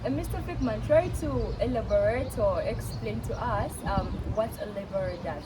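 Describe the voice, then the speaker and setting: A young woman with a Ugandan accent hosts a relaxed interview, her voice fairly high and animated. She talks in a chatty way, often turning to her viewers. The recording is roomy and distant, with heavy background noise. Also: moderate pace. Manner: lively, chatty, addresses viewers as guys. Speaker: interview host. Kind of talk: casual interview. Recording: echoey room, distant mic, loud background noise.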